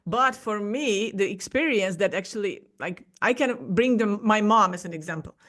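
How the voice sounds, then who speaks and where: A woman's voice: medium pitch, normal speed, a bit bright. Casting: Hungarian-accented woman, forties, rambling guest with a mid-range voice. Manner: rambling, restarts her sentences often. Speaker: podcast guest. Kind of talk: casual interview talk.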